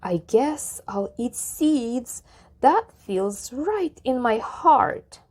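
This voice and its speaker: A woman's voice: fairly high pitch, very animated, very slow, darkish tone, husky, heavily acted. A woman voicing a naive, earnest young male elephant from a rhyming children's storybook. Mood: proud, hopeful. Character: naive, cheerful young male elephant who loves singing.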